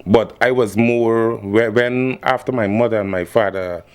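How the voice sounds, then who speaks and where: Laid-back, low-voiced Guyanese man. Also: unhurried, smooth. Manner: relaxed, laid-back, repeats "yeah, man". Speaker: guest musician. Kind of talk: casual interview.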